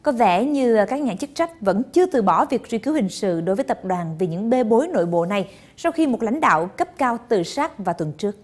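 A woman's voice: a little high, very animated, brisk, few pauses, darkish tone. A young woman presenting the news in a TV studio, addressing viewers in a polished, formal manner. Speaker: news anchor.

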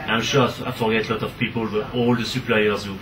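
A man, a chef, gives an informal thank-you speech, searching haltingly for his words. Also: fairly low pitch, level tone, noisy background. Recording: far from mic, loud crowd.

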